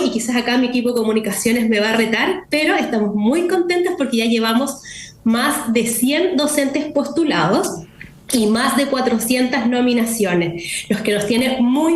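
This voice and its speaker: A woman with a Chilean Spanish accent, joining remotely as an interview guest and explaining in a steady way, often adding tag questions. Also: a little high. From southern Chile. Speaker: guest, executive director of a nonprofit. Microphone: remote connection. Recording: echoey room with faint noise.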